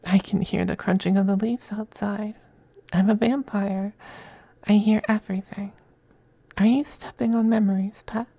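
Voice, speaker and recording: A man playing a possessive, teasing femboy vampire in an intimate ASMR roleplay, speaking softly and breathily, often in a whisper, with coaxing, condescending affection. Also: sits high, steady tone, normal speed, vocal fry, no echo. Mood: menacing, wistful. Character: possessive, teasing, doting femboy vampire master styled as mistress.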